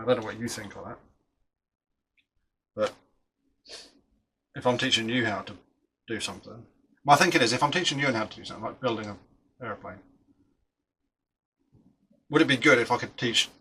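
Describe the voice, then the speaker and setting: A man's voice: mid-range voice, a little fast, long pauses, pushed and bright, slight background noise, low-quality recording. A middle-aged man chatting casually while building a model aircraft, rambling and often restarting his sentences.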